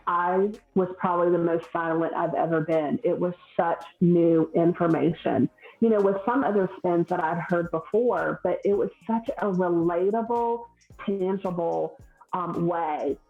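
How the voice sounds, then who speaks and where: Southern woman, earnest and halting. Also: lowish voice, creaky, reverberant, narrow, tinny audio, faint music behind. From Southern United States. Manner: earnest, halting, with many restarts. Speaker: guest, hairstylist. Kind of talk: podcast conversation.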